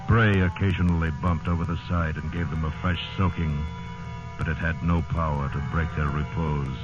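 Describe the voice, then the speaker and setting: A man's voice: rumbling low, fairly even intonation, normal speed, dull audio, over music. A man narrating a grave sea survival tale in the style of 1950s radio drama, on an old radio recording. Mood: somber.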